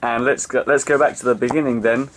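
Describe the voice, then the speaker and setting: A man's voice: medium pitch, fairly even intonation, fast, a little hiss or hum, low-quality recording. A man hosting a podcast, asking questions and giving short affirmations, often repeating his words as he goes. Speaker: podcast host. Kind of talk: interviewer.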